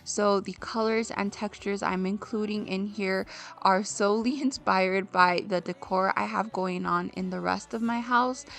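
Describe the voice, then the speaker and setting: A young woman talks her viewers through her decorating in a friendly, chatty voice-over. Her voice is hoarse and raspy from illness, with a gravelly edge, and it sits at an ordinary middle pitch. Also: a bit bright. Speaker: home decor vlogger. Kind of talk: casual voice-over narration.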